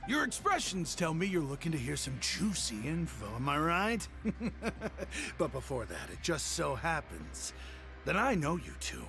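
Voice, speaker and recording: A man voicing a burly, self-important prison gossip in a video game, gravelly, boastful and conspiratorial. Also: fairly high pitch, wildly swooping pitch, a little slow, music in the background, exaggerated voice. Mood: smug.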